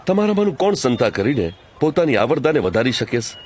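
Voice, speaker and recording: A man with a Kathiyawadi Gujarati accent playing Jesus as a calm, authoritative teacher in an audio drama, speaking in a measured, earnest way. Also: mid-range voice, slight background noise, natural voice. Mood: earnest teaching. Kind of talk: audio drama acting, preaching.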